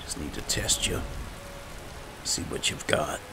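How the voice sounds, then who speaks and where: A man with a halting, low-key, informal way of talking, improvising in character as he hosts a roleplay gameplay session. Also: noisy background. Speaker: gaming host, roleplaying as a guild leader.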